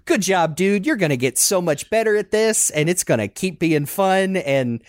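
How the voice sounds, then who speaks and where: A man in his thirties reminiscing casually as a podcast host in an animated, rambling, self-deprecating way. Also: high-pitched, moderate pace, no echo, clean studio sound.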